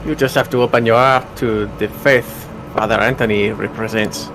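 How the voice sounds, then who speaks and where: A man playing an intense, devout believer who speaks in a measured, earnest way in an amateur visual novel drama. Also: lowish voice, steady tone, over music, lightly acted, poor audio. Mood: earnest, persuasive. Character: Benoit, intense devout man urging faith.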